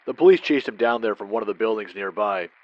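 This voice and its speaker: An actor playing a condescending husband in an old-time radio drama, breezy and patronising. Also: male voice, on the low side, expressive, fast talker, dry recording, lightly acted. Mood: gossipy. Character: smug, condescending 1940s husband. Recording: dry, dull lo-fi sound.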